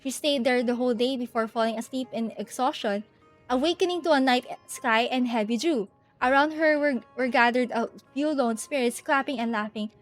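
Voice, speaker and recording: A woman's voice: on the high side, moderate pace. A woman hosting a livestream, talking informally and thinking aloud, moving between casual chat and reading stories aloud. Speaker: livestream host. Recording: music behind the voice. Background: music.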